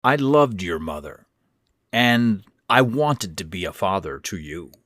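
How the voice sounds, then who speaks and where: A man acting a bitter, rasping old millionaire. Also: low voice, sing-song, measured pace, a bit bright, dry recording, clean studio sound, full character voice. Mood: scornful. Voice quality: hoarse, rasping. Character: frail, bitter, wealthy old stepfather on oxygen, scornful.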